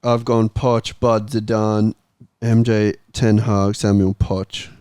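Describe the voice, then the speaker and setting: A man's voice: lowish voice, level tone, slow pace, mellow, clear, resonant tone, no echo, studio quality. A man hosting a casual football panel and giving his own views in the debate.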